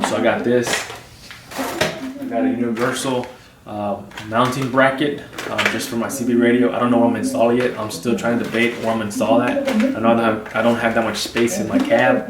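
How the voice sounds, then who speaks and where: Roomy, distant man giving casual how-to talk. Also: mid-range voice. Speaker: DIY vlog host. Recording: roomy, distant mic, clear hum noise.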